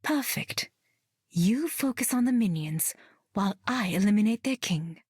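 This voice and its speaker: A woman's voice: mid-range voice, animated, measured pace, darkish tone, slightly rough, no room sound, studio quality. An actress playing a cool, precise woman warrior in a game.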